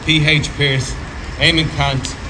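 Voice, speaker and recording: A man's voice: a little high, little pitch movement, slow pace. Irish man reading solemnly, distant outdoor recording. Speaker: reader at a commemoration ceremony. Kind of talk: formal ceremonial reading of a proclamation. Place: outdoors in a city memorial garden. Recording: distant outdoor mic, loud traffic noise.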